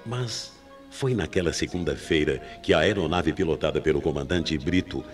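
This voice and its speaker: A Brazilian man narrating a scripted radio mystery with suspenseful, deliberate phrasing. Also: extremely low pitch. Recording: 1990s AM radio drama with music bed.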